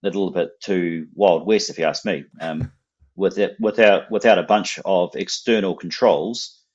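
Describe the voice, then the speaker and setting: A man's voice: low-pitched, measured pace, darkish tone, slightly rough, lo-fi sound. A man with a New Zealand accent, a guest casually explaining technical ideas and often repeating words as he thinks.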